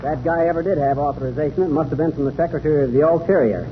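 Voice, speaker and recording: An actor playing a brash, bumbling army major who wisecracks in slangy 1930s radio comedy style. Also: male voice, on the high side, rapid-fire, dull audio, natural voice. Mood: puzzled, wisecracking. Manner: folksy slangy wisecracks, flustered protests. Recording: very noisy, phone-thin 1930s radio recording.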